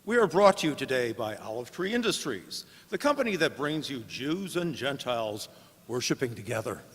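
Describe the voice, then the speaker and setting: An actor playing a formal, showy old-time radio announcer introducing a suspense drama. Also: male voice, mid-range voice, sing-song, normal speed, a bit bright, slightly rough. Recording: noisy hall with long reverb.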